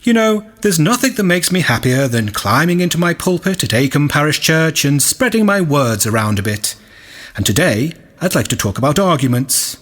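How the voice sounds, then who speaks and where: A man's voice: fairly high pitch, lively intonation, moderate pace, fairly bright tone. A man playing a vain, self-satisfied, sanctimonious vicar giving a pompous, preachy comic radio sermon. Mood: smug, preachy.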